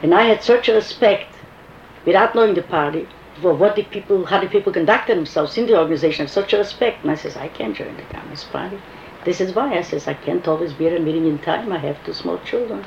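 A woman's voice: fairly low pitch, quick pace, gravelly. Older woman with a foreign accent. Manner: animated storyteller, quotes dialogue. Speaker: interviewee recalling activism. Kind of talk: oral history interview. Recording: muffled, echoey room with faint hiss.

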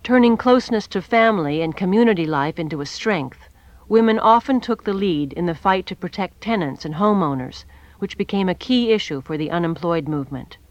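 A woman narrating a documentary in a clear, scripted reading. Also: lowish voice, expressive, a little fast, no echo, dull audio.

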